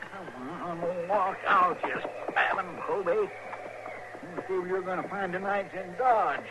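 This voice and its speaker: An actor playing a folksy, grumbling frontier deputy with a rural American drawl in a 1950s radio Western. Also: male voice, high voice, a little slow. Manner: homespun, grumbling, twangy talk. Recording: noisy old radio recording.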